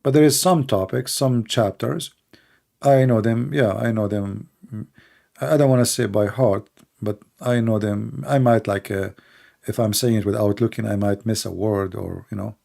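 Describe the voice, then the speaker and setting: A middle-aged man with a gravelly, dark-toned voice and an Arabic accent. He speaks casually, pausing often with fillers and restarting his sentences. His opinions come out blunt and unhedged. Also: fairly low pitch. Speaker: livestream debate host. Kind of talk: casual unscripted talk answering questions.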